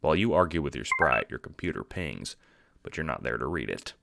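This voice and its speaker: Very deep-voiced audio drama narrator. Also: sing-song, a little fast, gravelly, very noisy.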